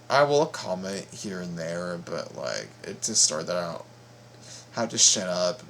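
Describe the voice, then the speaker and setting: A man giving casual reaction commentary as a video host, halting, informal and repeating himself. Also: sits low, gravelly, fairly bright tone, slight background noise.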